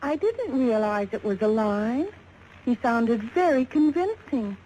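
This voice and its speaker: Anxious high-voiced American femme suspect. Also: female voice, big pitch swings, a little slow, dark tone, rough recording. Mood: explaining, earnest. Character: glamorous young female suspect, anxious and pleading innocence. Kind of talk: 1950s radio drama acting. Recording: vintage radio recording, faint noise.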